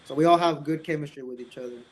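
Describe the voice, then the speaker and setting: A young American man with a slightly high voice chats casually as the guest in an interview. He tells stories in a conversational way, slipping into quoted back-and-forth as he goes. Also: quick pace, poor audio. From born in Mexico, raised in Indiana, United States.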